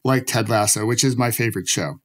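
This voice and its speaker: A man hosting a podcast from his home office, talking in a relaxed, chatty way with lots of casual fillers. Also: medium pitch, a little fast. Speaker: podcast host. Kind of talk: casual interview chat.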